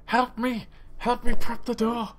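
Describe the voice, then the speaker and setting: A man playing a panicked police officer who shouts urgently for help in a tabletop game. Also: very high voice, monotone, a little slow, voice pushed up.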